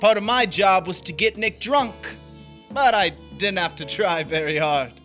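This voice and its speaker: A scheming drifter acted by a man in a vintage radio noir, telling his story tersely like a confession. The voice is high and forceful, with some music behind it. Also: measured pace. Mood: grim, recounting. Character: Frank, scheming young drifter narrating his crime. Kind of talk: 1940s radio noir narration and acting.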